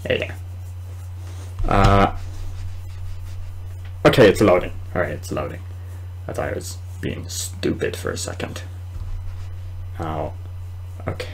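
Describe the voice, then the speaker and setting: A young man giving casual, excitable commentary while playing a horror game, muttering and exclaiming to himself. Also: sits low, takes it slowly, vocal fry, pauses often, low-quality recording. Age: young adult. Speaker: gamer recording a let's play. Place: small indoor room at home.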